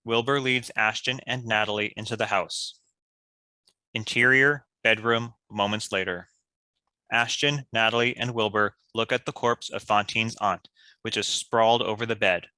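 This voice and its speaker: Very sharp, bright male narrator. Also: on the low side, fairly even intonation, normal speed, no echo. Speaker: narrator reading stage directions. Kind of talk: scripted narration.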